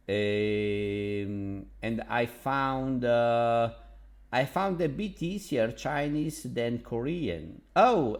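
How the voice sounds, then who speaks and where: Italian man with middle-pitched voice, slow, halting casual vlog chat, eager, full of pauses. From Naples, Italy. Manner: halting, many fillers and restarts; enthusiastic about languages. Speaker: online language tutor.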